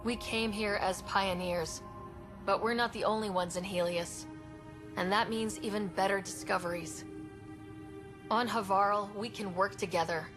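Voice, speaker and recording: A woman acting as a young female expedition leader from a sci-fi game, speaking at a middle pitch. She is earnest and measured, steady under pressure and diplomatic. Clear music plays behind her. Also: natural voice. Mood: solemn, hopeful. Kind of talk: video game cutscene acting.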